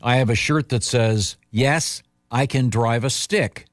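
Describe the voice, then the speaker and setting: A man hosting a radio talk show, bantering casually and reading out listener questions in a halting, teasing way. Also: mid-range voice, dry recording, studio quality.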